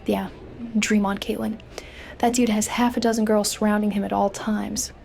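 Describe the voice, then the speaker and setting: A woman's voice: medium pitch, little pitch movement, normal speed, creaky, a little mellow, a little hiss or hum, close to an everyday voice. A woman voicing a self-conscious, bookish fifteen-year-old girl, narrating in a wry, introspective way for a comic dub. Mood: wistful, self-doubting. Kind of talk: scripted first-person narration for a comic dub.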